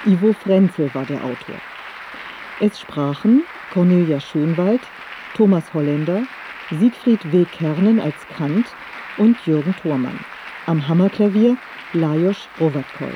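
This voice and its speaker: A man's voice: high voice, expressive, unhurried, some chatter behind. A man reading a scripted closing announcement as a radio announcer. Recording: dull, noisy cassette radio recording.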